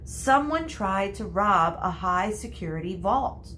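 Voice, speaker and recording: A woman's voice: mid-range voice, expressive, slow, a bit bright, slight background noise. A woman voicing a gloomy, plain-spoken young wizard boy who grumbles his way through a storybook. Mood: gossipy.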